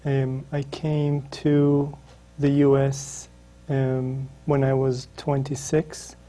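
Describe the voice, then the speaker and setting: Hesitant Israeli man, dark and flat. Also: medium pitch, slow pace. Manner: hesitant, many ums and restarts. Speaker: author, interview guest. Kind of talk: reflective interview answers.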